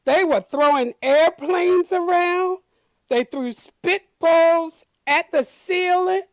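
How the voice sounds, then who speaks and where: A woman reading a picture book aloud to children, deliberate and expressive, pausing to comment to her listeners. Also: very high-pitched, no echo. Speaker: storyteller for children.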